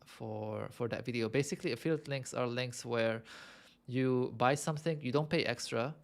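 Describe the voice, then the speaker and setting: This young man from Lebanon has a gravelly edge and sits at an ordinary middle pitch. He chats loosely on a livestream, musing aloud with plenty of ums and uhs. He sounds amused at himself as he answers his viewers. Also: normal speed. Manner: chatty, self-amused, thinks aloud with many fillers. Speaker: livestream host. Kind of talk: casual livestream chat answering viewer questions.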